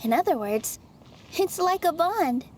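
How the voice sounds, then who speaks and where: An actress voicing a gentle, earnest young woman in a video game, speaking softly and reassuringly. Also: extremely high pitch, lively intonation, measured pace, slight background noise. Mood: thoughtful. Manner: soft, thoughtful, reassuring. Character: gentle young female dancer, earnest and encouraging.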